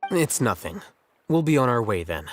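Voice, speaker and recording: A man voicing an aloof young scholar who speaks in a measured, dry, cutting way. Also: medium pitch, big pitch swings, soft background music, natural voice. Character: aloof male scholar, cool and condescending. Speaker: scholar, scribe.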